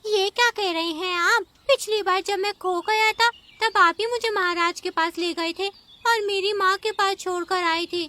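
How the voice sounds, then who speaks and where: A woman's voice: very high voice, measured pace, few pauses, bright, forceful tone, slight background noise, heavily acted. A woman voicing a small, innocent young animal in a children's cartoon, with an earnest, childlike, pleading manner. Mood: confused. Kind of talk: Hindi children's cartoon voice acting.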